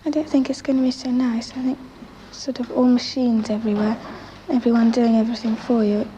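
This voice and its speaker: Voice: medium pitch, flat delivery, fairly quick, warm tone, highs cut off. A young girl answering casually in an interview. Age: child or teenager. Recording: faintly noisy 1960s archival interview.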